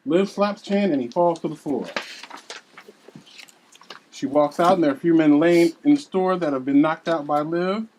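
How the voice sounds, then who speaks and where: A man narrates a script at a table read, announcing scenes and reading out the action. His voice sits a little higher than most men's, in a scripted narrator's delivery. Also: moderate pace, pauses often, a little mellow, a little hiss or hum, rough recording. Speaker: narrator reading stage directions.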